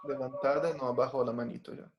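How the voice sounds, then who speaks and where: A man's voice: medium pitch, steady tone, fast talker, roomy sound, dull audio, noisy background. A man from Brazil, a native Portuguese speaker, teaching an online Bible study over a video call. He talks earnestly, with frequent fillers and restarts.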